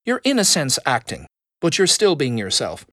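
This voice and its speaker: A man giving a measured, expressive lesson on public speaking. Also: a little high, no echo, clean studio sound. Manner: expressive emphasis, measured delivery. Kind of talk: instructional talk.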